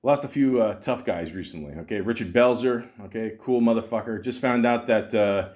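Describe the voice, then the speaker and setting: A man's voice: on the low side, normal speed, a little mellow. A man hosting a podcast, delivering a rambling, profane comic monologue full of restarts. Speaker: podcast host. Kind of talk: casual comedic monologue.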